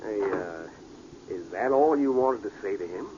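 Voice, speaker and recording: Folksy frontier pal, lowish voice, lively inflection, casual hesitant talk, muffled old radio drama. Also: male voice, unhurried. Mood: amused, doubtful. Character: easygoing, folksy male frontier friend. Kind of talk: 1940s radio drama acting. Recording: muffled, noisy vintage radio recording.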